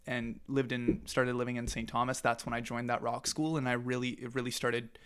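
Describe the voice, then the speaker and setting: Young male podcast guest; average pitch, rambling, filler-heavy storytelling. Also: level tone, fairly quick, fairly bright tone, clean tone. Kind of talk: casual interview.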